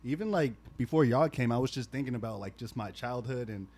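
A man in his twenties hosting a podcast, asking long rambling questions and getting animated when reminiscing. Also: mid-range voice, a little fast. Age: 20s. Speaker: podcast host. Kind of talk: casual podcast chat.

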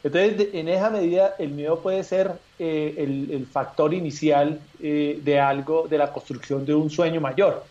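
A man's voice: fairly high pitch, steady tone, warm tone, muffled recording. A male guest speaking reflectively with frequent hesitations over a remote call. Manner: reflective, hesitant, many "eh" pauses. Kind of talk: casual chat.

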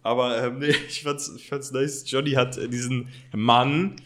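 A man's voice: medium pitch, level tone, normal speed, noisy background. A young man co-hosting a podcast in casual, slangy chat. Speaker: podcast co-host.

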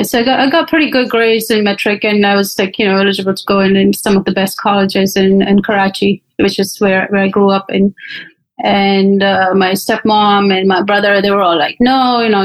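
A woman's voice: medium pitch, rough recording. A woman from Pakistan, a guest on a podcast, recounting her life in a conversational way with frequent fillers. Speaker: interview guest. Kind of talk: personal storytelling in an interview.

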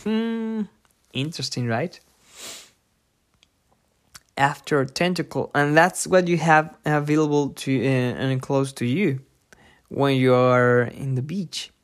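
A podcast host giving an informal lesson from a book, thinking aloud haltingly and repeating difficult words. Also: medium pitch. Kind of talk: informal lesson read from a book with personal asides.

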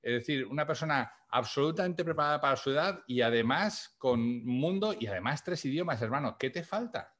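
A man hosting a podcast, chatty and energetic as he introduces his guest. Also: medium pitch, brisk, hardly any pauses. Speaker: podcast host. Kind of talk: casual interview hosting.

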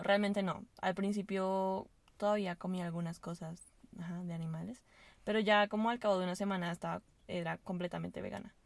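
A woman's voice: lowish voice, gravelly, dry recording. A young woman from Venezuela, a podcast guest, chatting casually in a rambling, hesitant way with lots of fillers, recorded inside a car. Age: young adult.

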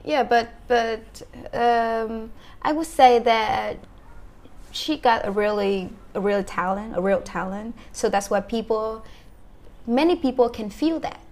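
A young Vietnamese woman answering interview questions in halting English with a Vietnamese accent and many fillers. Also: on the high side, a little mellow. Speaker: guest, English teacher.

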